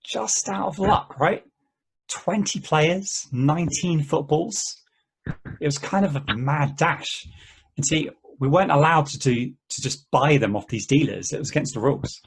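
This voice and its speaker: A man playing an easygoing game player in an amateur table read, talking in a halting, conversational way. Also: a little high, gravelly, a little hiss or hum, low-quality recording. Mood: storytelling. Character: easygoing, rambling male player of an endless treasure-hunt game.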